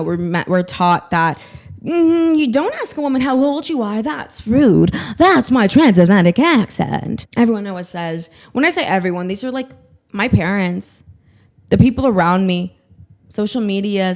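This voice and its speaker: A woman's voice: medium pitch, darkish tone. A woman in her twenties hosting her own podcast, ranting in a scattered, self-mocking way and swearing freely. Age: 20s. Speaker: podcast host. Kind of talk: casual solo podcast monologue.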